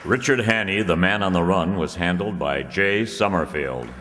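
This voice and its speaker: A man playing a vintage radio announcer, reading scripted copy in a measured, formal manner. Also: low voice, a crowd in the background, lightly acted. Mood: formal, genial. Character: smooth 1930s radio announcer selling soap. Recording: echoey hall, noisy audience room.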